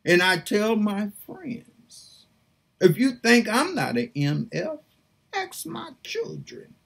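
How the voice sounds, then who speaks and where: A woman's voice: medium pitch, big pitch swings, gravelly, a bit bright, slightly rough. A woman preaching and praying aloud, reading scripture in a fervent, pleading manner. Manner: fervent, pleading, slow and drawn out. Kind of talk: impassioned prayer and scripture reading.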